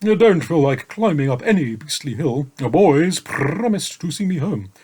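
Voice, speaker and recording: A man's voice: a little high, very animated, normal speed, a little mellow, slight background noise, pitched above the performer's own voice. A man voicing a big, easygoing male dragon who murmurs sleepily and contentedly. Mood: drowsy.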